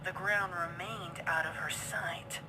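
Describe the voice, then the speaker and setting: A woman reading dark fiction as a dramatic narrator, her phrases often falling hushed. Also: fairly low pitch, steady tone, takes it slowly, heavy background noise. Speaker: story narrator. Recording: very loud storm-like ambience behind.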